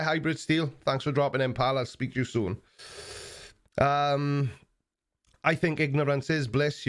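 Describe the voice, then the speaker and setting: A man in his forties with an Australian accent, hosting a live stream and chatting casually while answering viewer comments, relaxed and plain-spoken with frequent ums. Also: medium pitch. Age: 40s.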